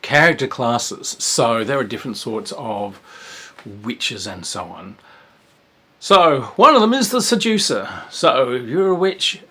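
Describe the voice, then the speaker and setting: A man with a husky, slightly breathy voice of middling pitch, talking through a game review in a loose, rambling way. He sighs often and drops into whispered asides and exclamations. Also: sing-song, a little slow, a bit bright. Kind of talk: casual spoken review.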